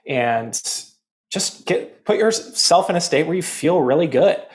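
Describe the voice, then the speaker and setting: A man appearing as a podcast guest, calmly explaining health and fitness topics in casual conversation. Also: on the high side, measured pace. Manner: calm, explanatory. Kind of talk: casual interview chat.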